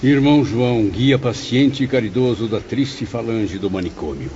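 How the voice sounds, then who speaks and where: A man's voice: mid-range voice, moderate pace, warm tone, slight background noise, lightly acted. A man playing a curious, courteous newcomer spirit in an audio drama. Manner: eager, courteous. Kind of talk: dramatised audio-book dialogue. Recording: dull lo-fi audio, faint music. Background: faint background music.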